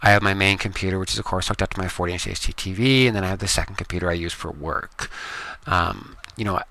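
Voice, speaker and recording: Low-voiced Canadian man chatting casually. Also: quick pace, gravelly, no echo. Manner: relaxed, rambling, many ums. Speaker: gaming video creator. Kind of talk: casual gameplay commentary. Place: home room with computers.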